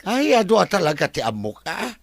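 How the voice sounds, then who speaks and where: A man's voice: high voice, huge pitch swings, moderate pace, creaky, dry recording, full character voice. A man playing a timid old resort worker who stammers and hesitates before every reply. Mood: sheepish. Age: older.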